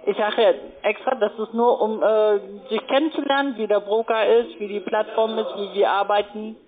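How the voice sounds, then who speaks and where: A woman's voice: mid-range voice, steady tone, normal speed. A woman making a sales call in unscripted conversation, speaking a little hesitantly and adding tag questions. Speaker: trading sales caller. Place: phone call. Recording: tinny phone line.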